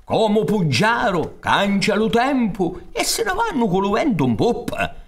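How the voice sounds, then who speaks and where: A man's voice: sits high, wildly swooping pitch, unhurried. A man in his sixties with a Sicilian accent, telling a folk tale dramatically on a theatre stage. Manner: dramatic, gesturing, with rhetorical questions. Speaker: storyteller and director. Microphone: studio mic on a stand.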